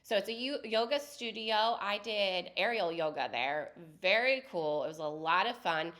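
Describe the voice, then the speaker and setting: A woman's voice: mid-range voice, lively intonation, moderate pace, fairly bright tone. A woman co-hosting a podcast with a head cold, chatting through a list of local events in a casual, friendly way. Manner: chatty, lists events and details conversationally. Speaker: podcast co-host.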